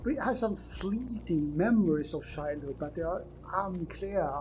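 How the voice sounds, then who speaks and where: A man's voice: high voice, expressive, measured pace, noisy background. A Swedish-accented man roleplaying a puzzled character, exclaiming in confusion. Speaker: player.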